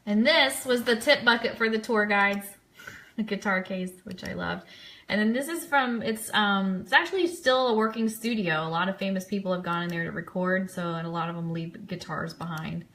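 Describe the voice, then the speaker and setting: A woman's voice: mid-range voice, moderate pace, a bit bright. A woman giving casual live commentary on trip photos as host of an online fan show, chatty and enthusiastic.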